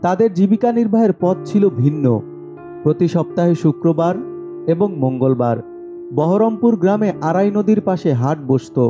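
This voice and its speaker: A man's voice: high voice, lively intonation, normal speed, lo-fi sound. A man narrating a scripted horror story over background music.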